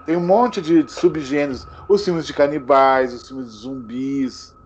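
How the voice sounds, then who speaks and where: A man speaking as the invited expert, explaining in a halting way and often repeating words as he searches for them. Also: medium pitch, a little hiss or hum. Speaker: film genre expert guest. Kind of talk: casual explanatory chat.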